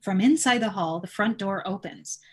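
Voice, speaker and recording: A woman narrates screenplay stage directions at a middling pitch. She reads scene headings and action lines in an informal table-read manner, as the narrator among a cast. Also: normal speed, husky.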